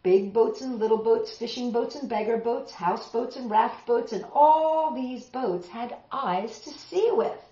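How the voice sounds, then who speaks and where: A middle-aged woman reading a picture book aloud as a storytime host, expressive and dramatic, addressing young listeners directly. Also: on the high side, measured pace, hardly any pauses. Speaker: children's storytime host and reader. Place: small home room, indoors, seated on a sofa. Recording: roomy, slightly dull home video sound.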